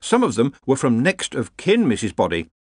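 A man's voice: medium pitch, huge pitch swings, normal speed, darkish tone, slightly rough, no room sound, studio quality, voice pushed up. A man voicing a harried, earnest academic wizard who organises everyone in a fussy, polite, explanatory way, in a storybook reading. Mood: officious, stern.

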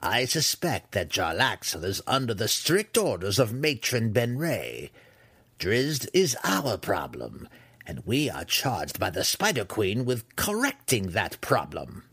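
A man voicing an imperious, scheming, cruel dark elf matriarch in a fantasy audiobook, condescending and wry, drawing out the words. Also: fairly low pitch, huge pitch swings, breathy edge, no room sound, full character voice. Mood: stern, calculating. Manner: condescending, wry, drawn-out delivery.

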